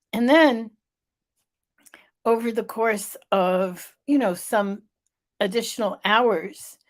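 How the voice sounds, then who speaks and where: A woman's voice: on the high side, drawn-out words, long pauses. A middle-aged woman hosting a live vlog, telling a personal story with emphatic repetition, her voice stuffy and a little off. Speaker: vlog host.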